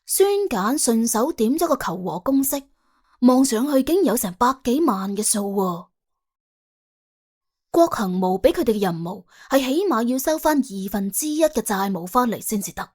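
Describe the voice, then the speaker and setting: Lively Cantonese woman storyteller. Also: fairly high pitch, moderate pace, slightly rough. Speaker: audiobook narrator. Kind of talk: colloquial comic crime story narration.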